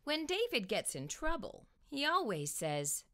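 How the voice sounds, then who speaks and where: A woman storyteller narrating a children's read-aloud in an expressive, deliberate manner. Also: sits high.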